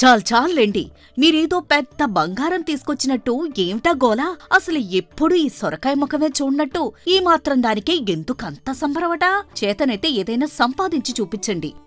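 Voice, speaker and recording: A woman voicing a shrewish, quarrelsome wife in an animated tale, scolding and complaining. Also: sits high, normal speed, faint music behind, voice pushed up. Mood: scornful scolding.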